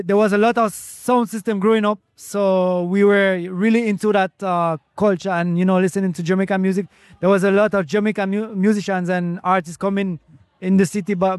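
High-voiced Frenchman chatting, close dry sound. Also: no echo. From France. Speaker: interview guest, reggae singer. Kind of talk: casual interview chat.

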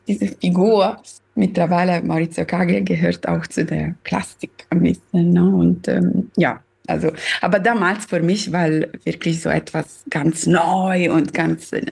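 A pianist from Sicily, Italy, a woman whose first language is Italian, speaking haltingly with many fillers while joining an interview by video call from home. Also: on the low side, gravelly, darkish tone, husky. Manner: hesitant, searching for words, many fillers. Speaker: guest pianist. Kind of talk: casual interview chat.

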